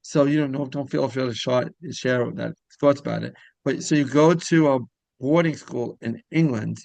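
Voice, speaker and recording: A man's voice: mid-range voice, normal speed, creaky, darkish tone, no room sound. A man hosting a casual interview, rambling as he repeats and rephrases his questions. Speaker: interviewer, show host.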